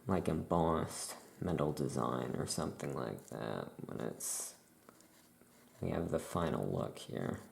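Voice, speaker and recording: A soft-spoken ASMR performer talking as an easygoing, chatty witch shopkeeper, rambling and trailing off in an unhurried way. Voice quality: soft-spoken, low creaky voice. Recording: roomy echo, faint background noise.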